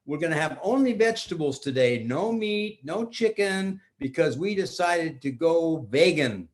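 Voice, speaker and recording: A man's voice: on the high side, lively intonation, a little slow. An older man voicing a cheerful, coaxing parent in a children's storybook tale. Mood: cheery. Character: cheerful parents announcing a wholesome family dinner.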